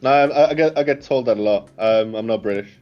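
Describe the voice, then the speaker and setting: A man's voice: lowish voice, a little fast, highs cut off, soft background music. A man from Finland with a Finnish accent, a game developer chatting casually and jokingly while playing. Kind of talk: casual gaming chat.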